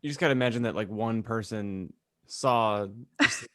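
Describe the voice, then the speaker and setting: A man's voice: fairly low pitch, normal speed, bright, forceful tone, no room sound, studio quality. A man co-hosting a podcast, chatting casually in a slangy, irreverent, joking way. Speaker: podcast co-host.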